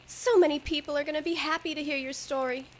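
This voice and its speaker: An actress playing a torn young flapper in an old-time radio drama, tender and halting in a melodramatic way. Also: female voice, sits high, fairly even intonation, a little hiss or hum, lightly acted. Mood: apologetic. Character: torn, sweet young flapper fiancée, firm but tender. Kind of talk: 1930s radio drama acting.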